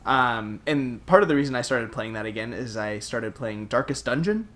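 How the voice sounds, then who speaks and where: A man's voice: medium pitch, lively intonation, normal speed, a bit bright. Guy co-hosting a games podcast, just chatting casually, normal mid voice. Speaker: podcast co-host. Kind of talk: casual chat about video games.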